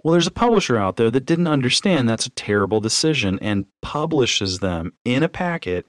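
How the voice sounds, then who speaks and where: A man's voice: mid-range voice, sing-song, a little fast, dry recording, studio quality. A man co-hosting a podcast in casual book chat, emphatic and speaking straight to the listeners. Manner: emphatic, addresses listeners directly. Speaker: podcast co-host. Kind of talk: casual chat about books.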